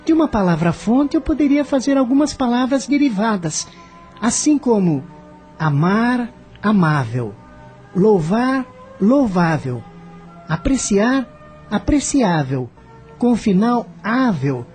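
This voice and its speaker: A woman playing a dreamy young male student who thinks aloud in a deliberate, musing way in a radio drama. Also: fairly high pitch, sing-song, a little mellow, faint music behind. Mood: pensive, curious. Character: young male student Lázaro, dreamy, pensive inventor of a language.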